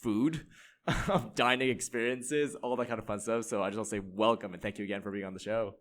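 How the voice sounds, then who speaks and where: A man's voice: on the low side, steady tone, brisk. A man hosting a podcast, asking long rambling questions full of likes and you knows. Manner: rambling, many fillers and restarts. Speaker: podcast host. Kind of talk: casual interview talk.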